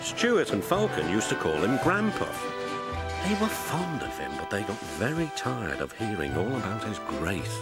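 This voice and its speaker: Very animated male storyteller over music. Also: fairly low pitch, moderate pace. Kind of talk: scripted children's story narration. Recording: music behind the voice.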